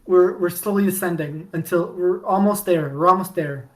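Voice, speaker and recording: Rambling, excitable woman podcaster. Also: lowish voice. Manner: rambling, many restarts, quick bursts of excitement. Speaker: podcast guest. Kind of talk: casual podcast chat.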